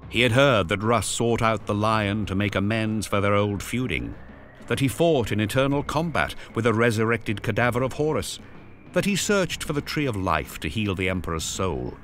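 Deep-voiced male dramatic narrator. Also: lively intonation, a bit bright, faint music behind. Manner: grave, storytelling, with deliberate pauses.

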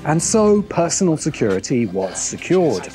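A middle-aged man narrating a scripted video essay in a measured way, with deliberate emphatic pauses. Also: fairly high pitch, very animated, mellow, soft background music.

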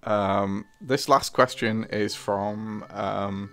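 A man's voice: lowish voice, fairly even intonation, extremely slow, a bit bright, soft background music. A man giving casual behind-the-scenes commentary as a co-host, chuckly and hesitant in manner. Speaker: co-host, writer and puppeteer.

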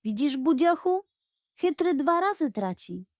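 A woman's voice: high-pitched, sing-song, a little slow, dry recording, studio quality, pitched above the performer's own voice. A woman voicing a bossy, boisterous female farm animal in a children's audio story. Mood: wise.

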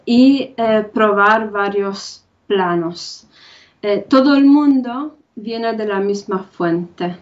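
A middle-aged woman giving a conference talk over video call from a room at home, speaking haltingly with many filler sounds. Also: a little high. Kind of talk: online talk on spirituality. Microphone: video call webcam. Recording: dull lo-fi webcam audio.

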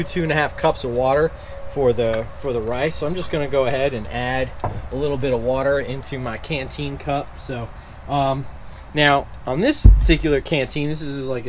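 A man of mid pitch talks through an outdoor camp-stove meal in a loose, unhurried way, full of little fillers and restarts. He was recorded on a camera mic outdoors by a truck tailgate. A faint open-air hum sits behind him. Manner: relaxed, step-by-step, many fillers and restarts. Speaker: outdoor cooking channel host. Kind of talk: casual cooking demonstration.

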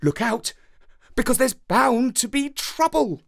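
An eager young lady dog, played by a man chatters in a high, light feminine voice. The storybook football fan is breezy and excited, swooping animatedly. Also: takes it slowly, creaky, full character voice.

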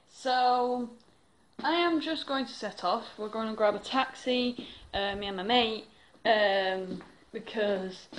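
A woman's voice: mid-range voice, low-quality recording. Chatty young woman vlogging on a phone. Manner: chatty, rambling with many fillers. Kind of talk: casual vlog to camera. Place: bedroom, then indoors at home. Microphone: phone held at arm's length.

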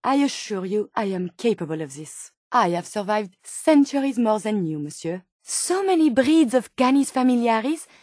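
A woman's voice: a little high, very animated, moderate pace, few pauses, darkish tone, no echo, clean studio sound. A woman voicing a polite, earnest female robot scientist companion in a video game, with a French accent and precise, formal, inquisitive speech.

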